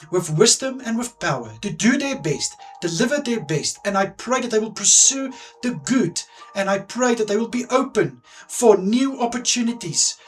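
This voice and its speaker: A man with an Afrikaans accent, whose first language is Afrikaans, leading a spoken prayer in an earnest, fervent way, swelling with emphasis on his petitions. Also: sits high. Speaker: prayer leader.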